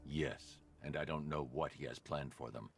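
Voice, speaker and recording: An actor playing a grim, stoic vigilante detective in a video game, terse, deadpan and commanding. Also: male voice, extremely low pitch, moderate pace, loud music behind the voice. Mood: clinical, brooding. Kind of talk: video game cinematic acting.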